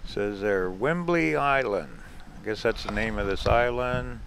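Chatty low-voiced man vlogging outdoors. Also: unhurried, vocal fry, fairly bright tone, a little hiss or hum. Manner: chatty, chuckles often, talks to the camera. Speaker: RV travel vlogger. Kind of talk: casual narrated travel vlog. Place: outdoors and in a car on a ferry.

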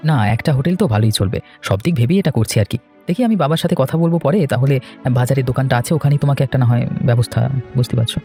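Cartoon village husband, man actor, mid-range voice, very rapid casual delivery, earnest and reassuring, light music behind. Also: medium pitch, mellow, lightly acted. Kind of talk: cartoon voice acting.

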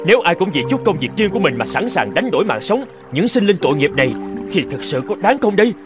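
An actor playing a self-righteous young scholar in a cartoon folk tale, pompous and argumentative. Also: male voice, high voice, big pitch swings, fast, over music. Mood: indignant. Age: young adult. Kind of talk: animated folk tale voice acting.

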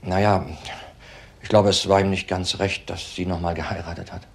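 An actor playing an agitated, evasive husband in his thirties in a crime drama, speaking haltingly and repeating words. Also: low-pitched, flat delivery, creaky. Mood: evasive, subdued. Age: 30s. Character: young widower husband, agitated, evasive under questioning. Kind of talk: German crime drama acting.

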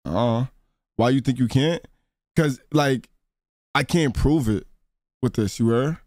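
A man's voice: mid-range voice, unhurried, darkish tone, no echo, studio quality. Slangy, profane man streaming a game. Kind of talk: casual reaction commentary over a game stream.

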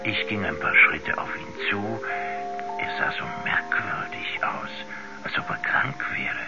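An actor playing a shaken witness who hesitantly recalls an uncanny encounter in a 1970s radio drama. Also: male voice, lowish voice, expressive, slow pace, vocal fry, heavily acted. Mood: uneasy, recalling. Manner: hesitant, searching for words. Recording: muffled 1970s radio play recording with music.

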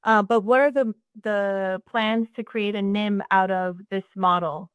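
A woman's voice: medium pitch, fairly even intonation, measured pace, smooth, no echo. Eager woman host asking questions. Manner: eager, enthusiastic reactions, direct questions. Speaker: host, moderator. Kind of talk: interview moderation on a video call. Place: indoors at home, virtual background. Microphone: webcam or laptop mic on a video call.

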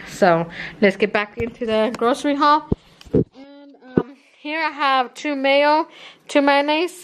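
A woman's voice: fairly high pitch, a bit bright. Chatty woman showing her groceries. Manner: chatty, rambling, with fillers. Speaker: vlogger. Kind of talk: casual grocery haul chat. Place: home kitchen, indoors.

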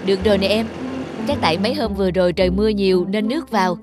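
A man's voice: sits high, very fast, music in the background, natural voice. Man playing a helpful, easygoing young man. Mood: friendly, reassuring. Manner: reassuring. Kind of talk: animated romantic drama acting.

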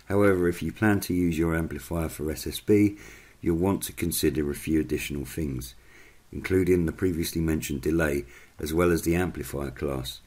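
A man reading a scripted explainer narration in a measured, instructional way. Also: a bass voice, a little mellow.

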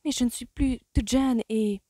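A woman voicing a soft, anxious young lady. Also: fairly high pitch, steady tone, no echo, pitched above the performer's own voice, close to an everyday voice. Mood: earnest. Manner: soft, hushed, hurried.

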